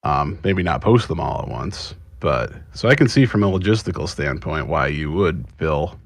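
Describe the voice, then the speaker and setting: A man's voice: rumbling low, expressive, normal speed, dry recording, studio quality. A man talking casually as a panelist in a podcast discussion. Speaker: panelist, script writer.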